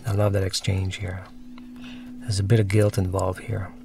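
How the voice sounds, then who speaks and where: A man's voice: low-pitched, monotone, normal speed, creaky, background noise. A man giving casual, reflective commentary on a film he made, explaining and rephrasing as he goes, with the film's soundtrack underneath. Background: film music and dialogue.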